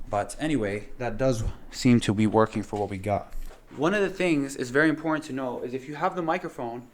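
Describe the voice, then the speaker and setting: A man's voice: medium pitch, slight background noise. A man giving a casual hands-on tutorial as an instructor, explaining things step by step in plain words and repeating key points. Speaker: instructor demonstrating audio gear.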